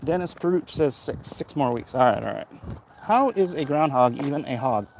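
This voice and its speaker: A man's voice: fairly high pitch, animated, moderate pace, a little mellow. A man chatting casually and jokily as he hosts a livestream while walking outdoors. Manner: chatty, jokey, reading out viewer comments. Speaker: livestream host. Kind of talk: casual walk-and-talk vlog chat. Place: outdoors, walking through a neighbourhood. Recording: noisy outdoor recording, clicks and handling.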